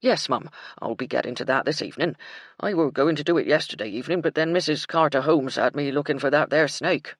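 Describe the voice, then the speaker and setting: A woman voicing a humble male groundskeeper with rough country grammar, deferential in manner. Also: sits low, fairly quick, no room sound, studio quality. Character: rustic humble middle-aged male groundskeeper.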